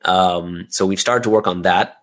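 A man's voice: low-pitched, steady tone, moderate pace. A man answering questions as an interview guest in a conversational, chatty way, often checking in with "right?" and "you know". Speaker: interview guest, audio drama creator.

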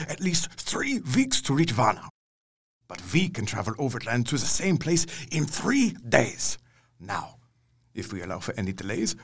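Old vampire hunter, gravelly, lively pitch, broken grammar, fatherly and resolute. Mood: measured. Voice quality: broken grammar, grand declamatory delivery. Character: Van Helsing, elderly Dutch vampire hunter, resolute and fatherly. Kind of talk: audio drama acting.

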